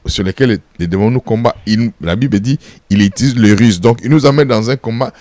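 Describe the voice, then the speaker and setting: A pastor from Congo, answering interview questions in an emphatic preaching style, full of repeated phrases and rhetorical questions. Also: male voice, lowish voice, fairly quick, darkish tone, no room sound. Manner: firm and insistent.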